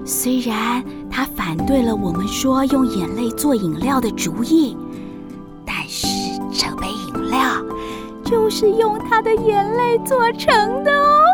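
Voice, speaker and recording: Kindly broom-riding witch auntie, bright kids audio drama, high and super expressive. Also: unhurried, over loud music. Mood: confiding. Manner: expressive, animated. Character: lively, kindly witch aunt who flies a broom. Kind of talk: children's audio drama acting.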